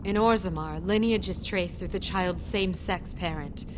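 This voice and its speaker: A woman's voice: fairly low pitch, moderate pace, noisy background, lightly acted. A woman voicing an anxious outcast dwarf mother. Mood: earnest. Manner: earnest, confiding. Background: game music.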